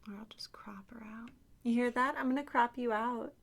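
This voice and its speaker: A woman rambling softly and in whispers as an ASMR host, with a cutesy, coaxing, playfully teasing manner. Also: fairly high pitch, steady tone, moderate pace. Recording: faint background noise.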